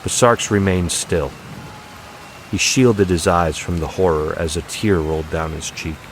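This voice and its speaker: A man's voice: sits low, fairly even intonation, unhurried, slight background noise, low-quality recording. A man giving a dramatic, scripted audiobook narration of a story, with music behind him.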